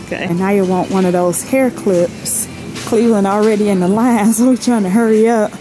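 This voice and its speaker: A woman's voice: medium pitch, fairly even intonation, fairly quick, rough recording. An easygoing woman in a mid-range voice chats and laughs as she shops through a big store with her daughter. She is recorded on a phone held in her hand, with music playing underneath. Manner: easygoing, chatty, laughs often. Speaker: vlogger shopping with her daughter. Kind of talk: casual chat while filming. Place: large indoor retail store. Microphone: handheld phone camera.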